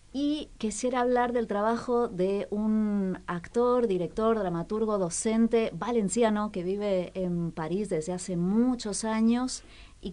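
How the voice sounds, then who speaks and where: A woman's voice: mid-range voice, dark tone. Woman chatting on cultural radio. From lived in France, half French. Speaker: radio co-host presenting culture agenda. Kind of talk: unscripted cultural radio chat.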